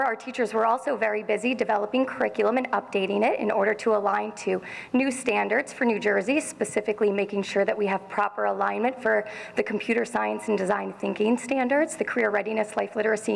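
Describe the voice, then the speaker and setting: Gravelly-voiced woman presenting in a hall. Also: medium pitch, fairly even intonation, fast talker. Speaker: science department supervisor. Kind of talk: formal presentation to a school board. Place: school meeting hall. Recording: reverberant hall.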